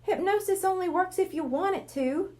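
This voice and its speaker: Woman putting on a cheeky, high-pitched young boy. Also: moderate pace, a little mellow. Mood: teasing.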